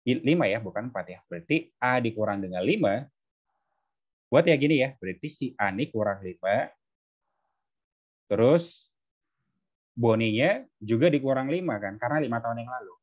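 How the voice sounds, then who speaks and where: A man's voice: mid-range voice, expressive, normal speed, long pauses, muffled recording. A man teaching step by step as a tutor, informally, often checking that students follow. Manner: frequent checking questions to students. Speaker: tutor teaching exam maths.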